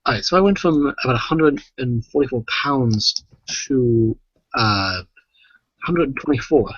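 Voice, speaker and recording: A man's voice: medium pitch, animated, a little slow, no echo, muffled recording. A British man co-hosting a casual podcast, chatty and rambling, swearing freely. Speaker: podcast co-host.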